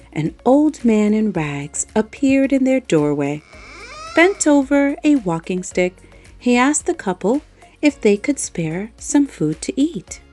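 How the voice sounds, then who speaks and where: A woman reading a children's story aloud, expressive and adding playful little asides to her listeners. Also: fairly high pitch. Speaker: storybook reader. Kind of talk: bedtime story read-aloud. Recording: faint background music.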